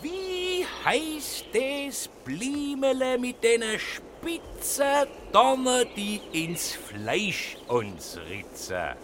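A man's voice: very high voice, sing-song, very slow, noisy background, full character voice. An actor playing a timid, simple-minded male Swabian fairy-tale hero in a children's audio play, with a Swabian accent and a naive singsong way of speaking. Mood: slow, riddling.

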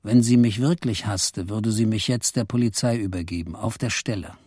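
Radio drama narration: scheming, brooding husband, intimate and reflective, soft dark tone, dry acoustic. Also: male voice, fairly low pitch, normal speed, husky, no room sound. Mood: musing. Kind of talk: first-person radio play narration.

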